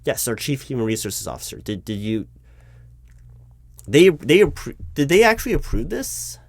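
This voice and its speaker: A man's voice: mid-range voice, sing-song, normal speed, no room sound. A man playing a flustered, awkwardly polite recruiting manager in a one-sided interview roleplay, speaking hesitantly and often repeating his words.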